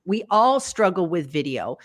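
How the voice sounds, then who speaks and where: A woman from the United States talks over a video call as a guest coach. Her voice swings widely in pitch, jumping up when she voices quoted worries. She sounds encouraging and practical. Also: a little slow. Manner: animated, jumps high for quoted thoughts. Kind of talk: online interview.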